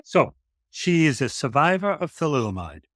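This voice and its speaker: A man's voice: a little high, sing-song, normal speed, fairly bright tone, no echo, studio quality. A man hosting an interview, praising his guest effusively and with emphasis. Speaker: host. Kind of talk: interview chat.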